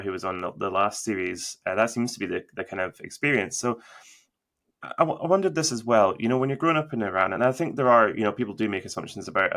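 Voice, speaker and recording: A man interviewing a guest in a relaxed chat, asking long, meandering questions full of fillers and restarts. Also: low voice. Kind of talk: casual interview conversation.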